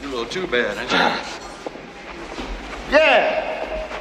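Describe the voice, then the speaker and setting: Swaggering convict, jive slang, lively pitch, echoey hall with noise. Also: male voice, slow.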